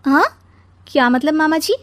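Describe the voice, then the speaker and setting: A woman's voice: high voice, lively intonation, normal speed, no room sound, voice pushed up. A woman voicing a confident, cheeky young daughter-in-law in a cartoon story, teasing and cocky. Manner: bright-spirited, teasing, cocky. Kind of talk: Hindi cartoon story acting.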